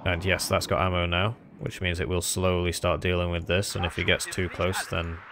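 A man's voice: deep voice, little pitch movement, moderate pace, crowd noise. A man giving casual, rambling and self-deprecating commentary on his own strategy game as he plays.

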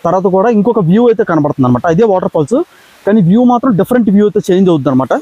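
A young man, chatting casually and excitably to camera as a travel vlogger, in slangy, repetitive phrases. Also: high-pitched, very fast, slightly rough, no echo, dull audio. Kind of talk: casual vlog chat to camera. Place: outdoors on forest trail and by waterfalls. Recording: outdoor handheld recording with background noise.

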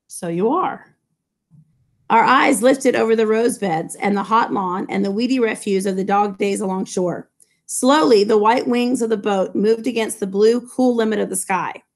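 A middle-aged woman, a high school English teacher reading a novel aloud to her class and breaking off into chatty asides full of "like" and "you know", recorded on a laptop webcam in a classroom. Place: classroom with low ceiling and fluorescent lights. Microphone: laptop webcam from below.

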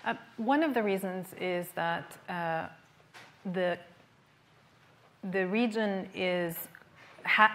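A woman in her forties answering audience questions in a large lecture hall, measured and earnest, pausing with fillers, on a lapel mic. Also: lowish voice, a bit bright. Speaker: keynote speaker. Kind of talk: conference Q&A answers.

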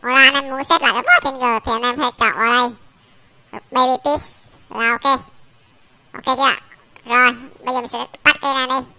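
A man voicing a small baby pig through a pitch-shifting voice changer, giving an informal software tutorial. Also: fairly even intonation, fairly quick, long pauses, smooth, full character voice. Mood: instructive. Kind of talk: informal screen-recorded tutorial.

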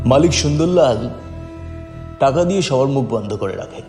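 A man reads a dramatic first-person story. His voice is mid-pitched and mellow. It rings with strong room echo, with soft music underneath. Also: expressive, moderate pace. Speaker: story narrator. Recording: roomy, long reverb.

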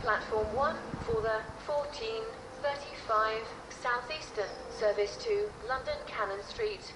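A woman's voice: a little high, a little slow. A woman reading a scripted train announcement as a railway station announcer. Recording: noisy, echoey, distant station loudspeaker.

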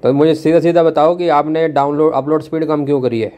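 A man's voice: on the high side, fairly even intonation, a little fast, warm tone, dull audio. A young man from India making an unscripted complaint call as a customer, pressing his point in a blunt, challenging, insistent way. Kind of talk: phone complaint, unscripted argument. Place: room at home.

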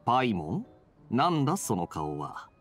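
A man voicing a relaxed, playful young male knight in an anime game, speaking smoothly and casually. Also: mid-range voice, moderate pace, loud music behind the voice. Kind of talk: Japanese anime game voice acting.

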